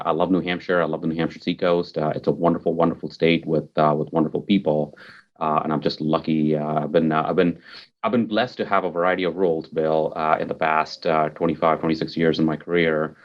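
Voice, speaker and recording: A man's voice: rumbling low, highs cut off. A man, answering interview questions in an upbeat, earnest way and repeating words for emphasis. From Mumbai, India. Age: 40s. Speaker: podcast guest, technology executive.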